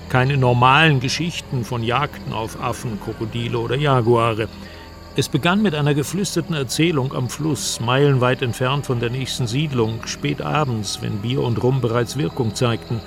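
An actor playing an investigative reporter who narrates a jungle search in a measured, literary way for a radio drama. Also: male voice, mid-range voice, vocal fry, over music, close to an everyday voice. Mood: measured, reflective. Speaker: first-person narrator of a radio documentary drama.

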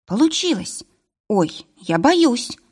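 A woman's voice: high-pitched, sing-song, unhurried, a little mellow, husky, voice pushed up, exaggerated voice. A woman voicing an anxious, hot-tempered young woman in an audiobook story, exclaiming and pleading in an agitated way. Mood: excited, panicky.

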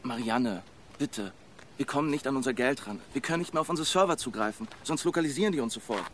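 A man's voice: expressive, fast talker, a bit bright, a little hiss or hum, lightly acted. A man playing a grim, terse young group leader. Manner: low and terse. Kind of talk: radio drama acting.

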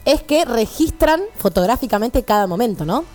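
A woman's voice: fairly high pitch, animated, moderate pace, a little mellow, faint music behind. A young woman with a Rioplatense Argentine accent, discussing casually as a columnist on a radio panel. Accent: Argentine Rioplatense Spanish.

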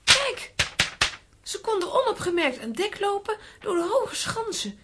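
A woman playing an excitable, plucky young girl in a children's audio drama. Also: very high-pitched, unhurried, very noisy, full character voice. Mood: eager, explanatory.

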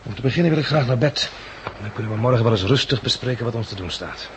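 A man's voice: medium pitch, lively intonation, quick pace, natural voice. An actor playing a practical, reassuring, decisive man in a 1960s Dutch radio play, on a noisy recording. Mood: reassuring, tired.

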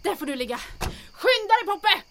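A woman playing a bold, bossy adventurer in a comic audio drama, brisk and teasing in her delivery. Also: very high-pitched, big pitch swings, background noise, full character voice. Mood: urgent, commanding.

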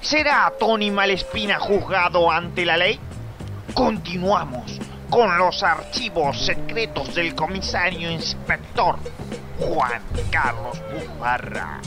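A man's voice: sits high, expressive, takes it slowly. An Argentine man narrating a parody radio serial in a melodramatic, scripted style. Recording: music behind the narration.

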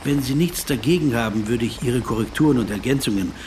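An actor playing a polite, self-conscious writer in a German radio play, probing hesitantly and adding dry, ironic asides. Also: male voice, medium pitch, brisk, darkish tone, a little hiss or hum, close to an everyday voice. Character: wry, polite, self-conscious male writer interviewing a celebrity. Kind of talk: German radio play dialogue and inner narration.

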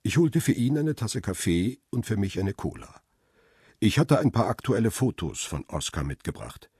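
Middle-aged paparazzo, very deep voice, brooding, dry storyteller, husky, radio crime drama. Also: male voice, big pitch swings, moderate pace, darkish tone, no echo. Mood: reflective, dry. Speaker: narrator and lead actor. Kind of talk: radio drama narration and dialogue.

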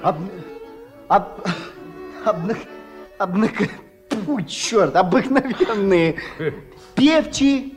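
Актёр в радиоспектакле играет хвастливого, восторженного деревенского мужчину, любителя птиц и музыки, который говорит по-простецки и сбивчиво. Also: male voice, high-pitched, expressive, very slow, pauses often, heavily acted. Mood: boastful, excited. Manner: bragging, folksy, stumbling over words. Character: boastful excitable village man, bird lover and musician. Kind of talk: Soviet radio play acting. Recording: 1979 radio play with music.

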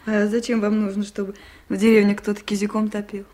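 A woman playing a caring younger village mother in a 1970s radio play, speaking softly and politely. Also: medium pitch, level tone, fairly quick, natural voice. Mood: puzzled.

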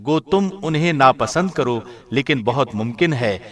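A man reading a scripture translation in a measured, formal broadcast manner. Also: medium pitch, animated, fairly bright tone. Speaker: translation reader, radio host.

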